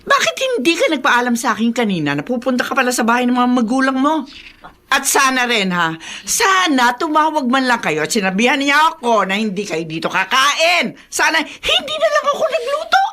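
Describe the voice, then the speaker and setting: A woman's voice: high voice, huge pitch swings, brisk, pushed and bright, heavily acted. A woman playing a nagging, possessive mother-in-law who scolds reproachfully in a Filipino radio drama.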